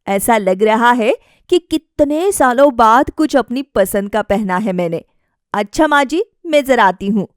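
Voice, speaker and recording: A self-assured young wife voiced by a woman narrator with a high voice and a dry recording. She is polite yet defiant, reasoning firmly as in a family storybook drama. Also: expressive, a little slow, no room sound. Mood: pleased. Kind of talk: acted dialogue in a family story.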